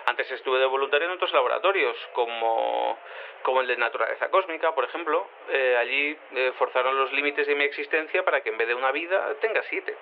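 A man's voice: mid-range voice, fairly quick, creaky, narrow, tinny audio, a little hiss or hum, close to an everyday voice. A man playing a naive, rambling quantum cat in human form, interviewed in an audio drama, speaking casually and hesitantly.

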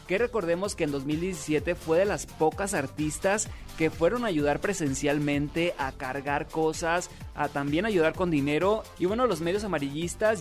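A Mexican man hosting a radio entertainment show, with a fairly high voice for a man. His talk is chatty and playful, and he rolls back to his catchphrases. Soft music plays under him. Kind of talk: upbeat gossip radio hosting.

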